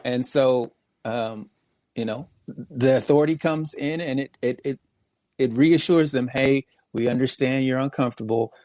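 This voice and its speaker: A man speaks as a guest in a casual podcast discussion. He explains his points emphatically, in a middle-pitched voice. The sound is dry and close. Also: unhurried, darkish tone, no room sound.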